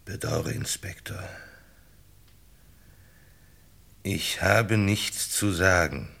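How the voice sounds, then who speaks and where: An actor playing a weary, tight-lipped accident patient in a 1960 German radio drama, speaking in a halting, evasive way. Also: male voice, deep voice, gravelly, pushed and bright, full character voice. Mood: stubborn refusal.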